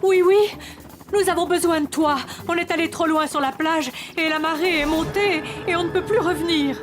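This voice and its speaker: A woman voicing a motherly, fretful bowling-pin toy lady in a children's cartoon, gently fussing and exclaiming. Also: very high-pitched, normal speed, noisy background. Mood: worried.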